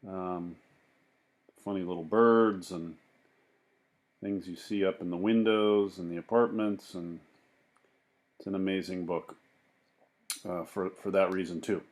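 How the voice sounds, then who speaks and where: A man reading a picture book aloud in an expressive, deliberate way, with casual asides. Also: deep voice. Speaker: children's book reader.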